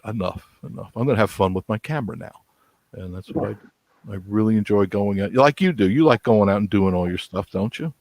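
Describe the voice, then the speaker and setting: Sixties man, folksy talker, low voice, dry close sound. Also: creaky, darkish tone, no echo. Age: 60s. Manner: chatty, laughs often, folksy. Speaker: guest on a live chat stream. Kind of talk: casual chat.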